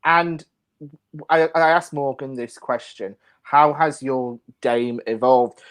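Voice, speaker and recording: A woman's voice: a bass voice, slow pace. A woman hosting a casual interview, chatting with guests and putting questions to them. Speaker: show host interviewing guests.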